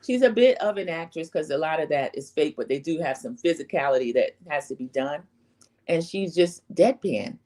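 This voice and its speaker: Low-pitched, slightly scratchy woman recapping TV. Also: lively intonation, normal speed, dark tone. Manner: opinionated, rambling storytelling with acted-out quotes. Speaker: host of a reality TV recap channel. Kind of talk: casual chatty recap commentary.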